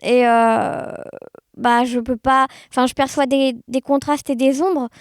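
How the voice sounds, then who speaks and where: Cheerful, very high-voiced woman. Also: fairly even intonation, a little slow, no echo, clean studio sound. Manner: cheerful, smiling. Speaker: podcast guest. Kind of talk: casual personal interview.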